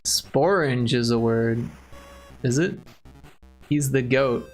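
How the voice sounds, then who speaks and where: A man's voice: mid-range voice, slow, low-quality recording. Chicago guy joking around on his stream, chuckles a lot, bit of music in the back. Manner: joking, chuckling, chatty with chat. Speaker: livestream host. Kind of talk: casual livestream chat.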